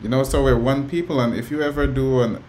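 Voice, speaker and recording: A man talking as a guest, calmly explaining ideas and often checking in with "you know.". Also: mid-range voice, fast talker, slight background noise. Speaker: guest musician and educator. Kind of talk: relaxed conversational storytelling.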